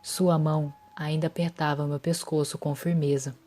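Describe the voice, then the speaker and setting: A woman narrating a horror story in a measured, somber way, with music underneath. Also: deep voice, flat delivery, dark tone. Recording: music bed behind voice.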